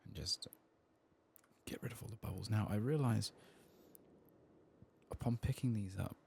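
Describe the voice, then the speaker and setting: A man gives hushed, unhurried ASMR commentary over a game. His voice is low with a gravelly fry and often drops to a whisper, with faint noise and clicks behind. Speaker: gaming ASMR streamer.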